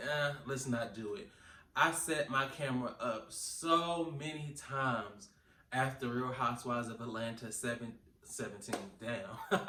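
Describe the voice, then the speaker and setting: Bright, sassy Southern man in an echoey room. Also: medium pitch. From Georgetown, South Carolina, United States. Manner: animated, sassy, opinionated, with rhetorical questions. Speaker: reality TV recap reviewer. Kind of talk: casual chatty commentary. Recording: roomy echo, distant from the mic.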